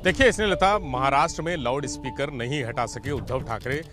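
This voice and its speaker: A man's voice: medium pitch, brisk, fairly bright tone, faint music behind. A middle-aged man giving a live unscripted field report outdoors into a handheld microphone, explaining and repeating words. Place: outdoors on a city street.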